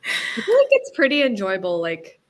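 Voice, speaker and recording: A woman's voice: medium pitch, huge pitch swings, moderate pace. A young woman chatting casually with friends in a book club call, rambling with lots of fillers and laughs. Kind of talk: casual group chat on a video call.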